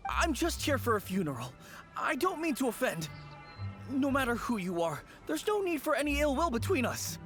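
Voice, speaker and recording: A man's voice: very high voice, huge pitch swings, moderate pace, fairly bright tone, soft background music, full character voice. A man voicing a timid young apprentice undertaker in a video game, fearful, stammering and pleading. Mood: wary. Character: timid, jittery young male apprentice undertaker, easily frightened. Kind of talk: English video game voice acting.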